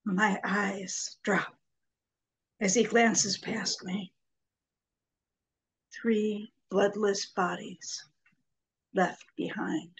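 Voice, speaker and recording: A woman in her sixties giving a measured, dramatic poetry reading over a video call from a room at home. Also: mid-range voice, little pitch movement, slightly rough, rough recording. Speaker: poet. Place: small home room with bookshelves. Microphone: computer mic on a video call.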